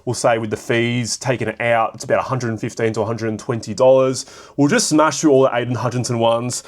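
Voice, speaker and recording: A young Australian man with a raspy voice from a cold, casually talking through card sale prices with lots of fillers. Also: mid-range voice, fairly even intonation, brisk. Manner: rambling, filler-heavy, thinking aloud. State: hoarse from a cold. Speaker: card shop vlogger. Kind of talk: casual solo commentary.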